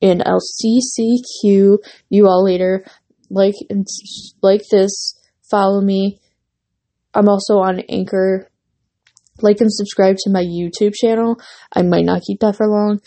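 A woman's voice: mid-range voice, fairly even intonation, unhurried, warm tone. A young woman hosting a casual solo podcast, rambling with many fillers and restarts while she is losing her voice and has a runny nose. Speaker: podcast host.

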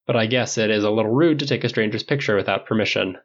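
A man's voice: on the low side, dull audio. Man voicing a stern, dignified older man. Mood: dry. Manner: measured, stern.

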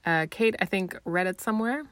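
A woman's voice: medium pitch, a little fast, no room sound. A German-born woman speaking with an American accent, giving a playful, mock-earnest review as a guest.

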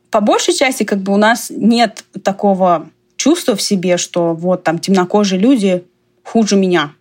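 A woman from Russia talking casually in a conversation, chatty and digressive, often laughing as she talks. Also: mid-range voice.

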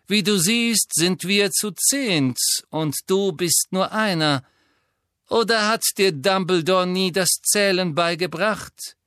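A man voicing a cold, aristocratic male villain who mocks his enemy slowly and pointedly. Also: high voice, steady tone, bright, forceful tone, clean tone, no echo, clean studio sound, voice pushed up, full character voice. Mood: sneering. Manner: sneering, mocking, slow and pointed.

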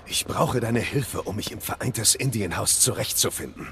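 An actor playing a grim, guarded Victorian knight in an audio drama, with a gravelly voice and a terse, intense, formal manner. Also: male voice, on the low side, expressive, normal speed, a bit bright, slight background noise. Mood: urgent, resolute.

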